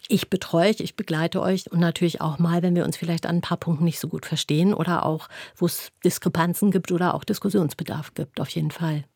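Woman with an even, down-to-earth delivery. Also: lowish voice, fast talker, creaky, hardly any pauses, slightly rough, dry recording, clean studio sound. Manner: down-to-earth, matter-of-fact. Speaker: interview guest, home-birth midwife. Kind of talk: reflective interview answers.

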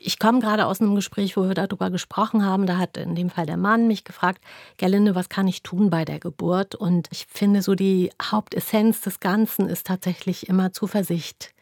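A woman's voice: medium pitch, steady tone, normal speed, darkish tone, no echo, clean studio sound. A middle-aged woman giving reflective interview answers in a down-to-earth, matter-of-fact manner. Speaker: interview guest, home-birth midwife.

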